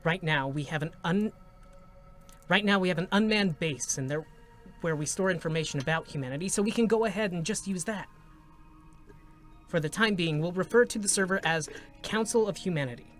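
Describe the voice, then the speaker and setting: A man's voice: high-pitched, a little fast, soft background music, lightly acted. An actor playing an anxious, secretive male scientist with a hesitant, stammering delivery in a dramatic game story reading. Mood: troubled planning.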